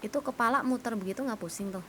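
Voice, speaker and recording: A woman's voice: on the high side, quick pace. A woman chatting casually and playfully while streaming a roleplay game, full of colloquial exclamations. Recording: clearly noisy game audio.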